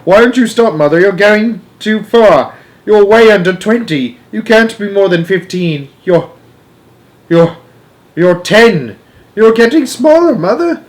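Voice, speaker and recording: A man voicing a distraught, wailing middle-aged woman in a storybook, shrill and full of exclamations. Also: lively intonation, measured pace. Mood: frantic alarm.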